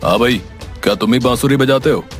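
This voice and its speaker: A man's voice: mid-range voice, fairly quick, lightly acted. Man voicing curt, stern policeman, music behind. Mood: stern, threatening. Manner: curt, commanding. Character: stern, bullying policeman interrogating a suspect. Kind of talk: Hindi animated moral story dialogue.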